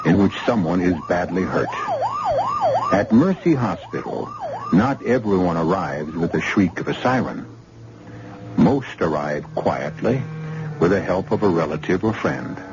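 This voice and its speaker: An older man hosting a vintage radio mystery show, narrating in a measured, solemn way. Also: deep voice, wildly swooping pitch. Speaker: radio drama host. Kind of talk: scripted narration. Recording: dull old radio broadcast with loud noise.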